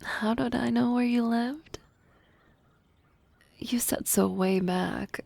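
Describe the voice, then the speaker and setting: Woman playing shy but bold harpy classmate, asmr romance, soft whispery voice w some creak, halting n teasing, super close dry sound. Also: a little high, dry recording, heavily acted. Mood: tender, teasing. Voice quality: soft, breathy, often whispering.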